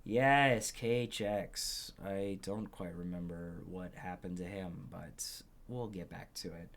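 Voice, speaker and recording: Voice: deep voice, slow. A video game playthrough host talking casually while recording commentary. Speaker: gameplay video host reading game dialogue aloud. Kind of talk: casual let's play commentary with voiced dialogue. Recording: game music under the voice. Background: game music.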